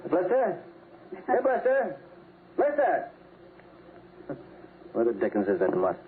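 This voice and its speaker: A man playing a brisk, practical army colonel and father in an old-time radio drama. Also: high-pitched, wildly swooping pitch, exaggerated voice. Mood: puzzled, calling. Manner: brisk, exclamatory. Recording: noisy narrow-band old radio recording.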